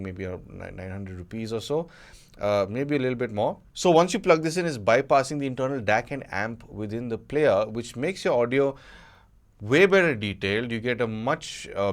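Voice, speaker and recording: A man giving a casual, unscripted product review as an audio gadget reviewer, conversational and hedging with colloquial asides. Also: mid-range voice.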